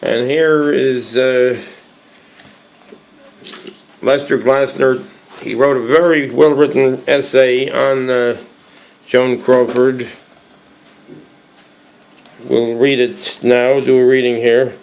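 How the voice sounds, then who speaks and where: A hoarse man with a cold hosting a casual show-and-tell, reading aloud from a book with many halting restarts and fillers. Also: medium pitch, level tone, a little mellow, a little hiss or hum, low-quality recording. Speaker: collectibles channel host.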